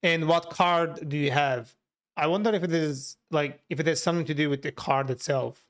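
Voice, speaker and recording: Clear, higher-pitched man with a Turkish accent. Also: fairly even intonation, moderate pace. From Turkey. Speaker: livestreamer, software developer. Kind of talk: casual livestream chat, answering viewers while working.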